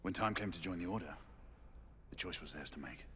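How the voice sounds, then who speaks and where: An actor playing a loyal, grave veteran knight, terse and calm in a game cutscene. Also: male voice, low-pitched, a little fast. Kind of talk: dramatic video game cutscene acting. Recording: clearly noisy background ambience.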